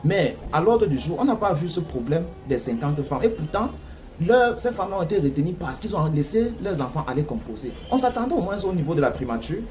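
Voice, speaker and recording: A man's voice: on the high side, sing-song, brisk, darkish tone. A man with a Cameroonian French accent giving political commentary in an emphatic way, full of rhetorical questioning. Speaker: news commentator. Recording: clear background noise.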